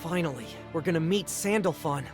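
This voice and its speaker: A woman's voice: low-pitched, a little fast, natural voice. A woman playing an earnest young member of a heroic sky crew in a video game dub. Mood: moved. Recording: voice over background music.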